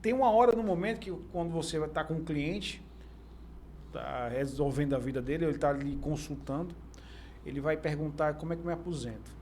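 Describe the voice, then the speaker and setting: Brazilian male podcast host, slightly high voice, casual and halting, recapping and posing what-ifs, some background noise. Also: a little mellow. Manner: halting, recaps and tests hypothetical examples. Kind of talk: informal conversational interviewing.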